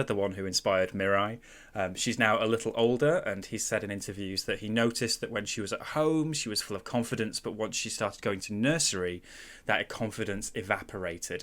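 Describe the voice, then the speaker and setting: A man with a Mancunian accent hosting a film podcast in casual chat, relaxed and enthusiastic, laughing easily. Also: on the low side. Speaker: podcast host. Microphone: headset.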